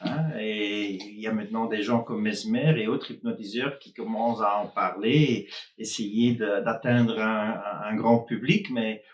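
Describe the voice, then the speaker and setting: Flemish-accented man, low and hesitant. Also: steady tone, echoey room, dull audio, a little hiss or hum. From Flanders, Belgium. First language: Dutch. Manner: hesitant, many pauses and restarts. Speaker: hypnotist. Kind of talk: casual conversation on a live stream.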